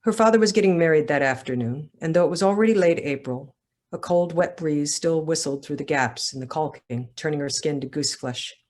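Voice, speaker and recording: A woman's voice: sits low, a little fast, darkish tone. A woman author speaking at a literary event, with talk and reading from her own writing. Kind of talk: casual talk, then literary reading aloud.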